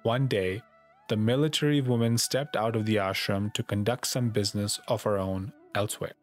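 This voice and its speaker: A man's voice: on the low side, steady tone, moderate pace. A man reading a scripted spiritual memoir aloud as a co-narrator. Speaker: disciple, co-narrator. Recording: music behind the voice. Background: music.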